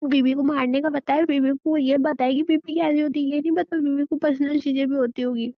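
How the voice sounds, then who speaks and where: A woman's voice: high-pitched, fairly even intonation, brisk. A woman playing a resentful, wounded wife in an audio drama, reproachful and stubborn with a plaintive delivery. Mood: accusing. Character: wounded, resentful young wife, defiantly rebuffing her husband. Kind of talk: Hindi audio drama dialogue, emotional acting. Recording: muffled phone-like sound with room echo.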